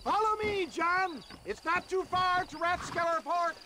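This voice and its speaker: A crooked old salesman with a very high voice and lofty, theatrical phrasing. He is pompous and wheedling, a game character heard through heavy outdoor noise. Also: lively intonation, a little slow. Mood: jovial, grandiose. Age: older. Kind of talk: video game cutscene acting. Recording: very noisy outdoor game ambience.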